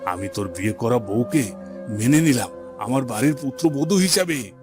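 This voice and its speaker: A mid-pitched, imperious male voice put on by an actor for a haughty village headman in a cartoon folk tale. He speaks sternly and with melodrama, and music plays behind him. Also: animated, normal speed, close to an everyday voice. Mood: relenting. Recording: background music under the voice.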